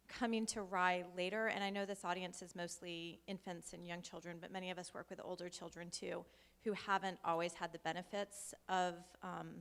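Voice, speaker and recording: Earnest low-voiced mother in a reverberant hall. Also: a bit bright. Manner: earnest, searching, halting. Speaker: parent asking a question. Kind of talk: question from the floor. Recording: long reverb of a lecture hall.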